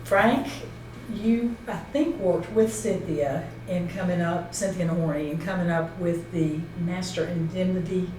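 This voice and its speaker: A woman's voice: lowish voice, steady tone, measured pace, darkish tone. A woman presenting an informal instructional talk, explaining step by step and checking points with a colleague. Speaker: seminar presenter. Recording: roomy echo, distant mic, faint noise.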